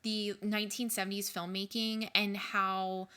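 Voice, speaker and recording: A woman's voice: medium pitch, little pitch movement, a little slow, sharp tone, clear, resonant tone. A woman co-hosting a podcast in casual chat, describing film scenes with enthusiasm and many fillers. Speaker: podcast co-host.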